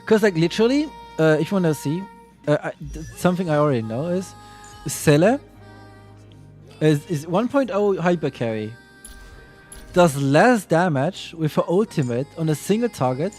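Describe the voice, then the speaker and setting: A man's voice: fairly high pitch, big pitch swings, normal speed, darkish tone, faint music behind. A man streaming and chatting casually about a game, rambling with frequent restarts and self-corrections.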